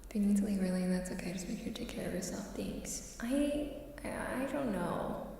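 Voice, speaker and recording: A woman live streamer chatting casually in ASMR style, soft-spoken and often slipping into a whisper, musing and repeating her phrases. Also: mid-range voice, animated, fairly quick, creaky, recorded from a distance. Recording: heavily echoing reverberant room sound, faint noise.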